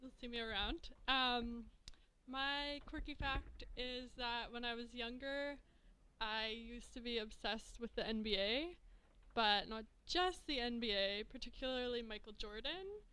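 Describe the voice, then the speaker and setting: A woman working as an education intern, introducing herself in a casual, soft-spoken way. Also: on the high side, a little slow, clean tone, rough recording.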